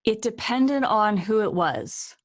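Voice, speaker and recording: A woman's voice: medium pitch, lively intonation, slow pace. Chatty woman hosting a casual interview. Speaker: interview host and author.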